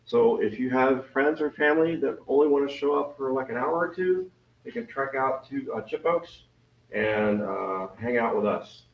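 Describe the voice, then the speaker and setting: A deep-voiced man gives club meeting announcements in a rambling, joking, self-deprecating way. He is picked up from across an echoey room. The sound is dull and muffled. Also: moderate pace. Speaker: event committee member. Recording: roomy, distant, dull meeting recording.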